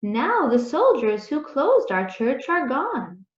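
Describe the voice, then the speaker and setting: A woman voicing a devout, earnest old village grandmother in a storybook, with solemn, deliberate explanations. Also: high-pitched, very animated, heavily acted. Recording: roomy, slightly dull muffled sound.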